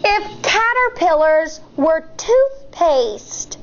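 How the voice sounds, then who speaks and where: An American woman in her thirties, a teacher reading a picture book aloud to children in an expressive, deliberate way. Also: extremely high pitch, highs cut off, a little hiss or hum. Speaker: elementary school teacher.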